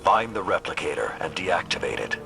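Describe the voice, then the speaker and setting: An actor playing a solemn, haunted old veteran warlord in a video game, speaking gravely and deliberately, at times breathy. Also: male voice, sits low, big pitch swings, over music, exaggerated voice. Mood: sorrowful, resolute. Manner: grave, slow, deliberate phrasing. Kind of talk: cinematic video game voice acting.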